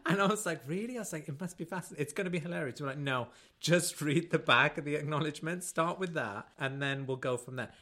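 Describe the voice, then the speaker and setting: A man co-hosting a podcast, chatting casually and excitably, giggly and prone to acting out conversations. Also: fairly high pitch, fast, few pauses. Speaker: podcast co-host. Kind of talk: casual, jokey chat.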